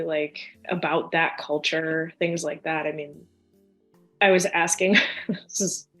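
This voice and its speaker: A chatty young woman from near Chicago, talking easily as a podcast guest. Her voice sits a little low, and she keeps slipping into quoted asides. A faint bed of music plays under her. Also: poor audio. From United States, near Chicago. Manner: chatty and animated, lots of fillers and quoted asides. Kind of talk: casual conversational interview.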